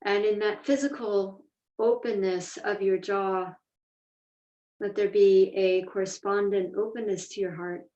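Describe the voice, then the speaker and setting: A woman teaching a guided meditation, speaking in a measured, deliberate way with pauses between phrases. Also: mid-range voice, steady tone, warm tone. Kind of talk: guided meditation, slow instruction. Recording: echoey room, distant mic, dull sound.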